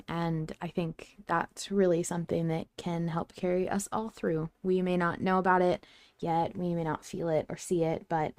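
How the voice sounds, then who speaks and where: Low-pitched woman podcast host, dry close sound. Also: a little mellow, dry recording. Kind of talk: casual podcast discussion.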